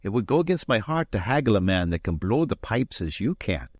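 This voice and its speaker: A man reading aloud and voicing a proud, hot-tempered Highland swordsman, touchy and boastful. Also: very animated, a little mellow, no echo. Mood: admiring. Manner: touchy, boastful, quick to anger.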